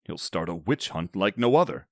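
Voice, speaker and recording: An actor playing a devoted, protective military officer in a space audio drama. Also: male voice, deep voice, very animated, normal speed, gravelly, dry recording, studio quality, full character voice. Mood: wry.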